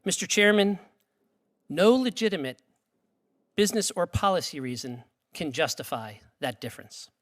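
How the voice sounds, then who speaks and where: A man's voice: on the high side, lively intonation, moderate pace. A man reading prepared testimony in a formal, emphatic way. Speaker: witness at congressional hearing. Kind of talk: prepared testimony read aloud. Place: congressional hearing room.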